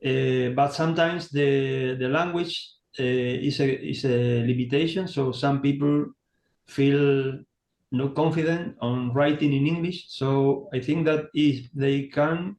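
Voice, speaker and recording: A man on an online call, speaking haltingly and searching for words in English. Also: medium pitch, fairly even intonation, a little mellow. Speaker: community contributor. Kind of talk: online meeting talk. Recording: roomy echo.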